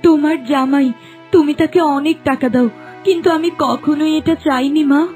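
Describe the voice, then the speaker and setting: A woman acts as a young cartoon wife from a village, stubborn yet distressed. Her voice is high and urgent, tumbling out in emotional pleas. Light music runs behind it. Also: steady tone, darkish tone, natural voice. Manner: quick, pleading, emotional delivery. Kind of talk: melodramatic cartoon acting. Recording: music bed under voice.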